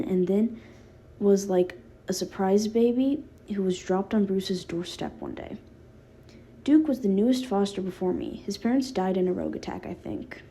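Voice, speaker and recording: A woman's voice: medium pitch, warm tone, breathy edge. A woman voicing a protective, sardonic teenage boy who talks casually and affectionately in a fan fiction audiobook. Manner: casual, rambling teen talk with filler words.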